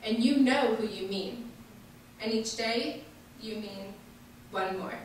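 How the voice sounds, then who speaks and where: A woman reciting a poem in measured, deliberate short phrases in a large echoey hall. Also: a little high. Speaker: reader at a memorial staged reading. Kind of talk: poetry recitation. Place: large echoey indoor hall, distant microphone. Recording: echoey hall from far off, faint noise.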